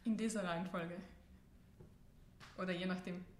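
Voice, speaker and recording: A woman's voice: fairly low pitch, steady tone, moderate pace, a little hiss or hum. A woman hosting an interview in a conversational way, putting questions to her guest. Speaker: interview host. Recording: roomy, echoey sound.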